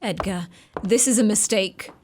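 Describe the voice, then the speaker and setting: An actress playing an imperious, high-strung young married gentlewoman in a period radio drama, emotional and haughty in manner. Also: measured pace, a little hiss or hum, lightly acted. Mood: anxious.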